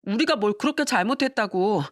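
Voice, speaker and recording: A woman's voice: medium pitch, lively intonation, a little fast, no room sound, clean studio sound, pitched above the performer's own voice, natural voice. A woman voicing an insolent, defensive sister-in-law who protests indignantly in a family drama story.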